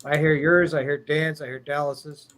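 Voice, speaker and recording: A man's voice: on the high side, little pitch movement, normal speed, fairly bright tone. A man wisecracking in a casual chat. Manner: joking, wisecracking.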